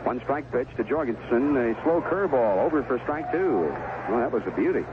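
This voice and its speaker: A man's voice: mid-range voice, expressive, brisk, narrow, tinny audio, noisy background. A man calling a baseball game play by play on a 1970s radio broadcast. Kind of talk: live radio baseball commentary.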